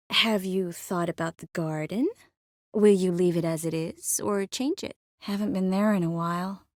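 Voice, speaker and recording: A woman voicing a witty, caring, self-assured young sorceress in a video game, often dropping to soft, intimate murmurs. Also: medium pitch, no echo, clean studio sound, natural voice. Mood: tender, wistful. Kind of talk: scripted game character dialogue. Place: close studio recording.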